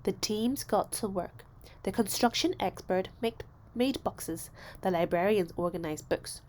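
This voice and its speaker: A woman narrating a children's story aloud, expressively, with deliberate phrasing and dramatic emphasis. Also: medium pitch, darkish tone. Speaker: storybook reader.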